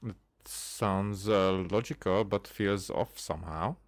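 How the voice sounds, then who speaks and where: A man's voice: deep voice, slow pace, no echo. A man hosting a casual game playthrough, commentating and reading dialogue aloud in a hesitant, stumbling way. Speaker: gaming video host.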